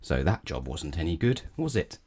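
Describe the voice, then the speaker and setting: A man with a low voice reads a children's storybook aloud. His delivery is measured and expressive, with animated swings in pitch and a mellow tone. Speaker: storybook reader. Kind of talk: children's read-aloud narration.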